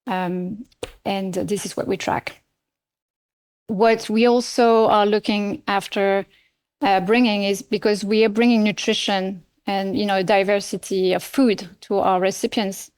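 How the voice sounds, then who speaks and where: A middle-aged French woman, a nonprofit CEO, answering interview questions with a French accent, speaking in a measured way with frequent fillers and restarts. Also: medium pitch, fairly even intonation, darkish tone, smooth. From France. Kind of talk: podcast interview.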